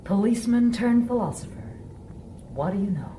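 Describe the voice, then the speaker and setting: A woman's voice: medium pitch, animated, moderate pace, warm tone, roomy sound, slight background noise, natural voice. A woman playing a defiant, sardonic revolutionary who scorns robots in a radio drama. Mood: scornful, defiant. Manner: mocking, sardonic.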